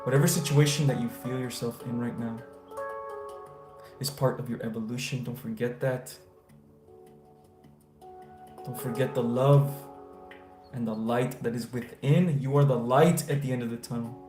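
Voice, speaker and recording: A man's voice: medium pitch, fairly even intonation, moderate pace, pauses often. A man from the United States hosting a casual spiritual livestream, answering viewers and giving enthusiastic, affirmation-style blessings. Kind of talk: casual livestream chat, reading viewer comments. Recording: roomy echo, faint guitar music. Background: faint guitar music.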